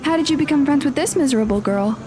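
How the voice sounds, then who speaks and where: A woman's voice: sits high, expressive, fast talker, music in the background. A woman playing a haughty, theatrical teen girl. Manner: theatrical, pompous, dismissive. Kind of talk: audio drama acting.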